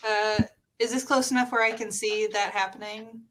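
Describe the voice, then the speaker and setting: A woman's voice: mid-range voice, fairly even intonation, moderate pace, a bit bright, a little hiss or hum. A woman talking casually as a player in a tabletop game, asking questions and stating her moves.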